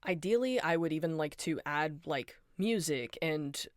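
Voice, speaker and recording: A woman rambling casually about her fan project, tired and apologetic, hoarse and nasal from being sick, on a close home mic. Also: low-pitched, expressive, a little slow, dry recording. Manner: rambling, apologetic, tired, self-deprecating laughs. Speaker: fan animator and voice actor. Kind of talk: casual behind-the-scenes rambling.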